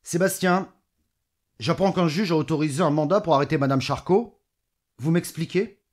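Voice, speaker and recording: Corrupt mayor played by a man: fairly high male voice, bullying, scornful, quick to swear. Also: natural voice. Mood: irritated, scornful. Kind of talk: audio fiction acting.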